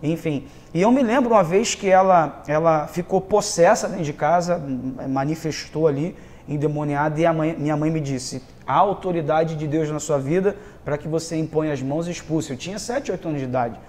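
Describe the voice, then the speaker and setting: Higher-voiced Rio man telling his story. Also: fairly quick, hardly any pauses, darkish tone, slight background noise. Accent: Brazilian, from Rio de Janeiro. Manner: earnest storytelling with frequent tag questions and repetition for emphasis. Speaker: pastor and youth leader, interview guest. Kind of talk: conversational personal testimony in an interview.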